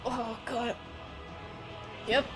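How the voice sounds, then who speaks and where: A woman's voice: a little high, level tone, drawn-out words, music in the background. A woman game streamer giving casual live reaction commentary in short remarks.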